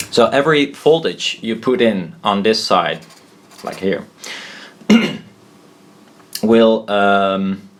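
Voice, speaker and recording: Male hobbyist explainer, lowish voice, very slow and halting, informal and unscripted, thinking out loud. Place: indoors at a desk.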